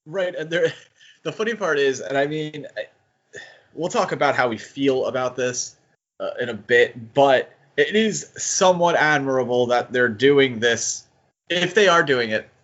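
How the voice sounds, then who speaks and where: A man's voice: mid-range voice, animated, unhurried, a bit bright, highs cut off. A man co-hosting a podcast in casual, analytical discussion, hedging and thinking aloud as he goes. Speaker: podcast co-host.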